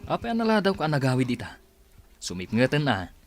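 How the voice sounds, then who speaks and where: A caring older brother with a gentle, advising way of talking and a lively pitch. Faint music plays softly under his voice, as in a radio play. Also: a little fast. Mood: concerned. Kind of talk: radio drama acting.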